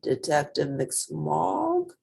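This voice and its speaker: A woman narrates a children's picture book in an expressive read-aloud style. Her voice is low and husky, and she takes an unhurried pace. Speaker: storybook reader.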